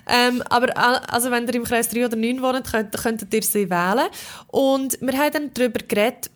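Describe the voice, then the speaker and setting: A woman's voice: fairly high pitch, a little fast. A woman with a Swiss German accent chatting casually and enthusiastically as a podcast host. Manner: informal, enthusiastic. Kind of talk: casual chat.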